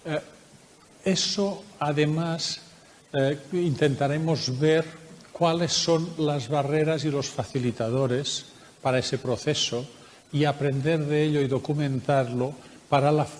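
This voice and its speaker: A man with a Spanish accent from Spain, presenting a research project at a conference workshop in a measured, explanatory way with frequent hesitations. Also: fairly high pitch, a little mellow, long reverb tail, a little hiss or hum. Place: conference room.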